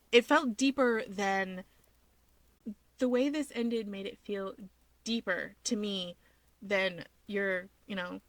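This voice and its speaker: A woman's voice: mid-range voice, a bit bright, dry recording. A woman hosting a fan fiction reading channel, speaking informally as a narrator and commentator.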